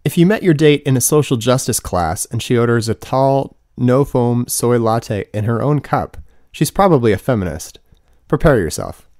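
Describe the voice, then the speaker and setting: A man's voice: mid-range voice, lively intonation, normal speed, a little mellow, dry recording. A man in his twenties reading his own humorous book as scripted narration, with wry, dry comic asides. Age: 20s. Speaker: author reading own audiobook.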